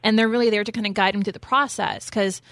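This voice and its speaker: A woman's voice: medium pitch, fairly even intonation, fast talker, a bit bright, no room sound. A woman co-hosting a casual interview, upbeat and often tagging her sentences with "right?". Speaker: co-host.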